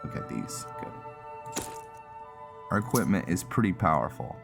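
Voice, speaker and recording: Very low, slow-talking man doing gameplay commentary. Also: big pitch swings, creaky, music in the background. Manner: thinking aloud, halting. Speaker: gaming channel host. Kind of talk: casual roleplay gameplay commentary.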